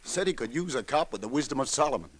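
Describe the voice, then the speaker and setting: An actor playing a streetwise, humane New police detective in old-time radio noir style, colloquial and a little halting. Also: male voice, medium pitch, expressive, natural voice. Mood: brooding narration. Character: streetwise, world-weary New York police detective, hesitant yet humane. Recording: dry vintage radio drama recording.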